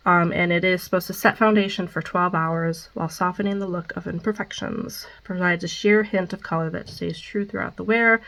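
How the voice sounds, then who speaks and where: A woman's voice: lowish voice, fairly even intonation, fairly quick, vocal fry, hardly any pauses, a bit bright, poor audio. A young woman with a Midwestern American accent and a stuffed-up nose, chatting casually through a makeup wear-test vlog with many ums. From Midwestern United States. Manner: chatty, many ums, reads product details. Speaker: beauty vlogger.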